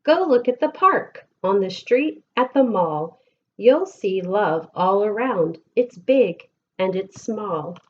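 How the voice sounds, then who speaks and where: Slow-paced American woman reading a storybook. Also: mid-range voice, expressive, darkish tone, lo-fi sound. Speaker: elementary school teacher reading aloud. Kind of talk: picture-book read-aloud for children.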